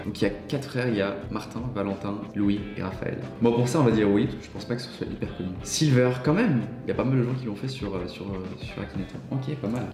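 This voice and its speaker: A man's voice: fairly low pitch, reverberant. A young man giving casual gameplay commentary, reading each of the game's questions aloud and answering it himself. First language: French. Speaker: YouTuber playing an online guessing game.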